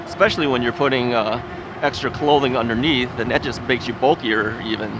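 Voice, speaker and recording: A man's voice: mid-range voice, steady tone, a little fast. A man hosting a casual vlog while out riding on a city street. He rambles in a folksy way, with lots of filler words and frequent chuckles. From United States. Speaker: ebike video host. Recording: outdoor street recording with traffic noise. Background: traffic and street noise.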